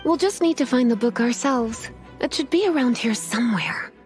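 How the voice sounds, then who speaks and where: A woman's voice: on the high side, animated, moderate pace, lightly acted. A woman playing a poised, languid librarian mage in a video game, formal in wording, teasing and faintly menacing. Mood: teasing menace. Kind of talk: video game character acting. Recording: music behind the voice.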